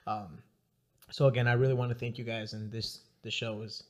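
A young man hosts a casual podcast interview. He is mid-pitched and easygoing, laughs a lot, and rambles through his questions with frequent restarts. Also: little pitch movement, brisk, muffled recording. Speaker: podcast host.

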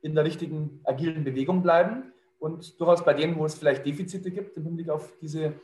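A man's voice: a little high, fairly even intonation, brisk, a little mellow, roomy sound. A middle-aged man, a leadership consultant, talking fluently and in a businesslike way with some fillers. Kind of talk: conversational interview answer.

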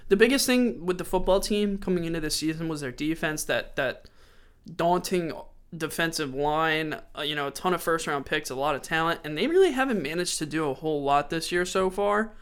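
A man's voice: a little high, expressive, fairly quick, a bit bright, smooth. A man with a stuffy, sore-throated voice hosting a casual sports podcast, running through game stats conversationally. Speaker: podcast host.

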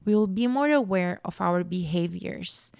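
Young woman, podcast host, earnest reflective monologue, vocal fry, dry close sound. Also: on the low side, measured pace, no room sound. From Portugal. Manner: earnest, animated when telling a story.

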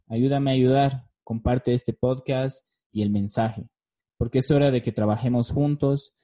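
Very dark-voiced Bolivian man hosting a podcast. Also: medium pitch. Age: 30s. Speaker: podcast host. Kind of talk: scripted solo podcast narration.

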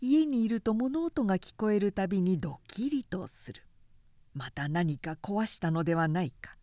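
Expressive, mellow woman narrator. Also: mid-range voice, dry recording, studio quality. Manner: measured, expressive storytelling. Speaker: narrator, reciter. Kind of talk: scripted literary narration of a period story.

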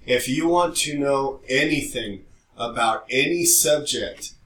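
A man's voice: medium pitch, takes it slowly, a bit bright. A man co-hosting a podcast in casual, slangy talk. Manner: informal, slangy, mildly profane. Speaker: podcast co-host. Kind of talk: casual chat. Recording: echoey room, distant from mic.

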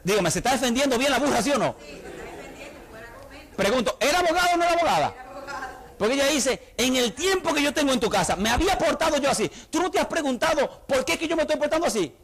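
A middle-aged man with a Dominican accent preaches a sermon in an animated way. His voice sits high for a man and swings widely in pitch. He slips into the voices of the people in his stories as he talks. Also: fast talker, some chatter behind. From Dominican Republic. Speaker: pastor.

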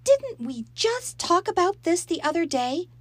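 A woman's voice: big pitch swings, unhurried, darkish tone, no echo. A woman voicing an upset mother whose voice quavers as she scolds and pleads, in a storybook reading. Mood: scolding. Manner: high, strained, quavering. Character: upset mother, worried, tearful, strained.

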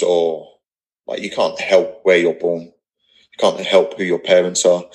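A man's voice: deep voice, rough recording. A man in his thirties with an unposh English accent, talking candidly as a podcast guest in an earnest, rambling, blunt way. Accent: English, not posh. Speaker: podcast guest, personal trainer business owner. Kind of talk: casual podcast interview, candid talk.

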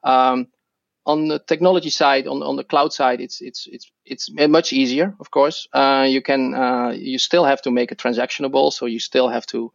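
A middle-aged man with a Dutch accent, a podcast guest who speaks English as a second language and talks haltingly with many restarts and fillers. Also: medium pitch, fairly even intonation, creaky, no room sound, muffled recording. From Netherlands. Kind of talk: podcast interview.

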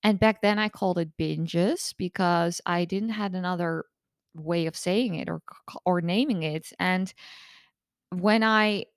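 A hoarse woman in her thirties who has lost her voice, a coach hosting her own podcast in a candid, chatty way. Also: fairly low pitch, unhurried, no echo, clean studio sound. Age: 30s. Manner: candid, chatty, open-book. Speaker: podcast host and eating disorder recovery coach. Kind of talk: casual solo podcast monologue.

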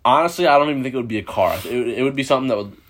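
A young American man in casual chat, talking in a halting, rambling way with some swearing. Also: mid-range voice, a bit bright. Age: young adult.

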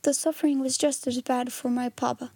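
A woman voicing a quiet, grave young orphan girl in a storybook. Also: high voice, little pitch movement, a little fast, husky, no room sound. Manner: plain, unrelenting, hesitant when startled. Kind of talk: storybook character reading.

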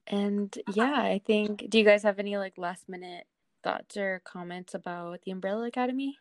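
A woman's voice: medium pitch, fairly even intonation, moderate pace, no room sound, clean studio sound. A woman hosting a podcast in a casual, chatty style. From of Mexican background. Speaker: podcast host.

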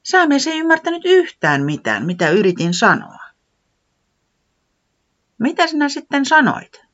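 Nainen esittää pientä, mietteliästä olentoa, joka puhuu epäröiden ja vihjaillen korkealla äänellä. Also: female voice, high-pitched, big pitch swings, a bit bright, highs cut off, voice pushed up. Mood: complaining. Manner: hesitant, hinting, wistful.

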